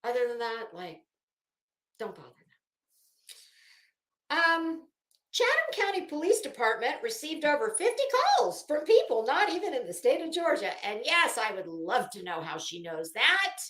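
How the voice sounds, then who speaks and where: A woman reading aloud with mocking commentary, sarcastic and ranting, swearing freely and stopping for halting, drawn-out emphasis. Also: on the high side, big pitch swings, fairly bright tone. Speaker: commentary vlogger. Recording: roomy, echoing room sound.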